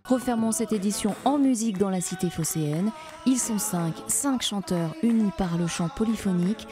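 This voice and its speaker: A woman news presenter reading scripted narration in a smooth, composed way. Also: medium pitch, normal speed, music in the background.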